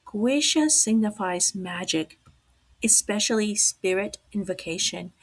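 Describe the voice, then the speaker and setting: A woman hosting a podcast in slow, deliberate speech, hoarse from losing her voice and dropping to a whisper at times. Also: medium pitch, poor audio. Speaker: podcast host. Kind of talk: slow, deliberate talk on the occult.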